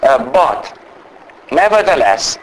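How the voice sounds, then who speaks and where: A man giving a sermon as a guest preacher. He speaks English with a strong non-native accent, talks fast by nature and tries to slow down, and sounds under the weather with a cold. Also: a little high, sing-song, a little hiss or hum, rough recording. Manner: naturally fast talker trying to speak slowly. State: unwell with a cold or flu.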